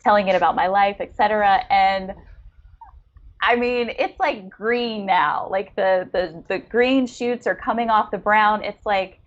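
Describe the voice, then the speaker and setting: A woman's voice: medium pitch, steady tone, a little slow, dull audio. A woman co-hosting a podcast in an effusive, appreciative, casual chatting style. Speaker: podcast co-host interviewing a writer. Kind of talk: casual chat.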